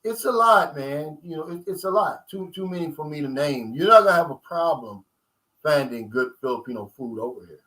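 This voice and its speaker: Man in his fifties, bright voice, casual streetwise live-stream chat, reads out comments. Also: a little high, animated, brisk, low-quality recording. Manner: loose, streetwise talk, chatting with viewers. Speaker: vlogger reading live chat.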